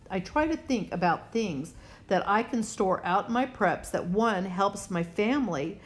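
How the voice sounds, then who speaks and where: A woman hosting a preparedness vlog, talking casually and instructively, plainspoken and speaking straight to the viewer. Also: mid-range voice. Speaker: host of a prepping channel.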